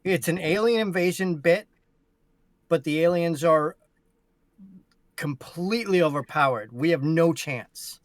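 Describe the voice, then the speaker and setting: A man in casual conversation, recommending things with emphatic, halting delivery. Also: on the high side, no echo. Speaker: podcast cast member. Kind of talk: casual chat, giving a recommendation.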